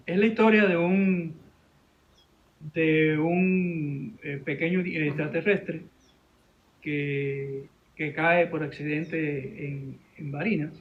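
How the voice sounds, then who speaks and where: A man with a Venezuelan accent telling a story informally in an interview, halting and repeating his words. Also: a little high, steady tone, narrow, tinny audio, slight background noise. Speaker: interview guest, animation director and teacher.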